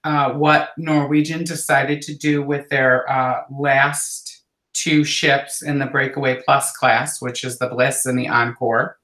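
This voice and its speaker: A man guesting on a livestream, explaining casually in halting phrases. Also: medium pitch, flat delivery, pushed and bright. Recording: roomy, echoing call audio.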